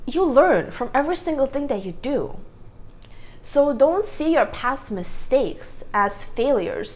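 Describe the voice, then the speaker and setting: A woman vlogger giving a casual, earnest self-help talk to camera, rambling and correcting herself as she goes, on a clip-on microphone. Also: a little high.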